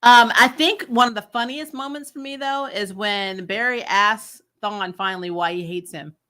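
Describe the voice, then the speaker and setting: Woman doing a chatty recap review, bright forceful voice, mid pitch, blunt and fed up, swears a bit. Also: moderate pace. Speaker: host of a TV show recap channel. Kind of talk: casual opinionated review chat.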